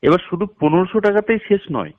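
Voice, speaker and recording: Male promoter, animated mid-range voice, instructional and emphatic, dull lo-fi phone-call sound. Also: sits high, fast. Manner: instructional, step-by-step, emphatic with figures. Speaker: network marketing promoter. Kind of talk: explaining a business plan over a phone call.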